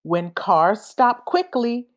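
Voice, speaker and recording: A woman reads a children's storybook aloud at a very slow, careful pace. Her delivery is expressive and highly varied in pitch, with each phrase set apart deliberately for young listeners. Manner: deliberate, expressive, acts out sound effects. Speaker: read-aloud reader. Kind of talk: children's picture book read-aloud.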